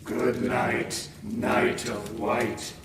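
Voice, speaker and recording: A man's voice: mid-range voice, flat delivery, very slow, noisy background. Male actors playing a solemn cult brotherhood, chanting ritual responses in an audio drama. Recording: very echoey, distant, crowd of voices.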